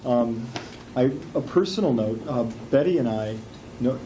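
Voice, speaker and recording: A man's voice: mid-range voice, expressive, measured pace, background noise. A man from Indiana, a history teacher introducing a guest to his class, rambling and correcting himself as he goes. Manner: rambling, self-correcting, friendly. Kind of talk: classroom interview. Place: school classroom.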